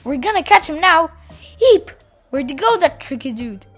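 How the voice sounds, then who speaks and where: A woman voicing an excited, determined child adventurer in a children's storybook, eager and full of exclamation. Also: high-pitched, normal speed, faint music behind. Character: excited, determined young kid hunting a yeti.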